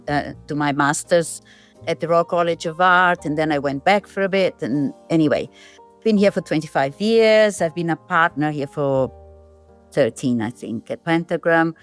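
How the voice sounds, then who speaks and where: A woman's voice: low-pitched, clear, resonant tone. A middle-aged woman from Brazil, a designer and filmmaker, answering interview questions in a reflective, thinking-aloud way. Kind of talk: casual interview talk.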